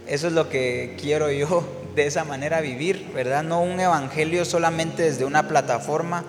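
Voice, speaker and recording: A man from Guatemala, a worship singer telling his story in a relaxed interview, reflective and full of fillers and restarts. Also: fairly high pitch, long reverb tail.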